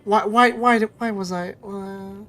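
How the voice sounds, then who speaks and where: A woman's voice: mid-range voice, steady tone, a little slow, fairly bright tone. A woman streamer giving casual live gaming commentary, exasperated and self-blaming, repeating herself in mutters and outbursts. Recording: game music behind the voice. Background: game music.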